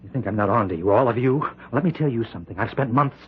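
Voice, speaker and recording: An actor playing a tormented, bitter husband gripped by a murderous obsession, speaking intensely and haltingly in an old-time radio drama. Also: male voice, medium pitch, lively intonation, husky. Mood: menacing, contemptuous. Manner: halting, intense, repeats phrases. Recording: muffled old radio recording.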